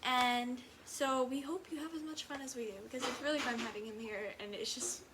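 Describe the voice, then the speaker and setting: A woman's voice: sits high, fairly even intonation, brisk, noisy background. A woman hosting a read-aloud, narrating a children's picture book. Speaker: storybook reader, video host.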